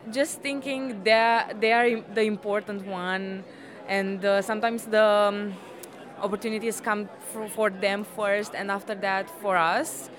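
A woman's voice: mid-range voice, fairly even intonation, a little slow. A woman from Romania, an English speaker, giving casual answers in an interview. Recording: crowd chatter behind the voice. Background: crowd chatter.

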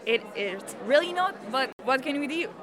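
High-pitched young woman in busy crowd. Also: expressive, moderate pace. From Romania. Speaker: interviewee. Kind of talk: conversational interview answers. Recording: busy public space, crowd chatter. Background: crowd chatter.

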